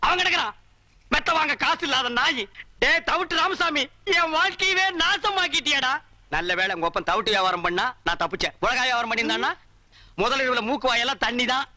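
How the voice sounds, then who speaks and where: Wisecracking, leering comic husband. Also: male voice, very high voice, lively intonation, fairly quick, bright, forceful tone, no echo, exaggerated voice. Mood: wry, leering. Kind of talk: slapstick Tamil film comedy dialogue.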